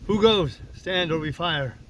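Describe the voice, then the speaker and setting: A man's voice: a little high, measured pace, natural voice. A man voicing a stern, suspicious ship's captain in an audiobook, giving curt, formal, scornful commands. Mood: scornful challenge. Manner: barked orders, curt and formal. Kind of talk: audiobook character voice.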